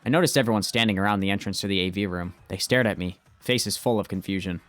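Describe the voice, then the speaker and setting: An earnest, anxious schoolboy voiced by a man with a fairly low voice tells his own story. It is amateur visual novel narration, recorded dry over soft music. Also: fast, dry recording. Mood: tense narration. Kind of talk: scripted first-person visual novel narration, amateur fan dub.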